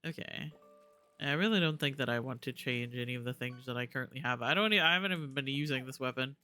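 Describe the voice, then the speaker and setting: A man's voice: mid-range voice, fast talker, bright, forceful tone, smooth, soft background music. A man chatting casually and informally as he live-streams a video game, rambling and swearing now and then. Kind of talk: casual live-stream chat while gaming.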